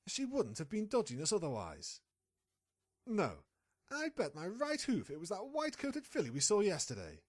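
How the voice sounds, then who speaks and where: Woman voicing a sly, husky pony reporter. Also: mid-range voice, huge pitch swings, moderate pace, a little mellow. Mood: insistent deduction. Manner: confident, conniving, impatient with a partner. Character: sly, scheming pony reporter chasing a scoop. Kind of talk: fan audio drama acting.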